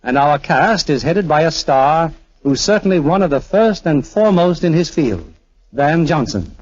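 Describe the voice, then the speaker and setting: A man's voice: a little high, expressive, moderate pace, a little mellow. A man hosting a 1940s radio theater, reading a scripted introduction in a formal, polished, florid manner. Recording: muffled 1940s radio recording.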